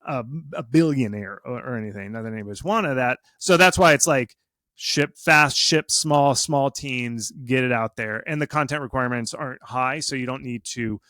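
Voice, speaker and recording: A man giving casual, animated explanations as an expert guest in an interview, speaking informally and with emphasis. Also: mid-range voice, moderate pace, dry recording, clean studio sound. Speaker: video game marketing expert, interview guest.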